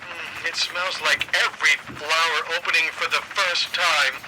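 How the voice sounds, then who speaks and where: A man's voice: a little high, level tone, measured pace, noisy background, close to an everyday voice. A man playing an enraptured observer in a science-fiction audio drama.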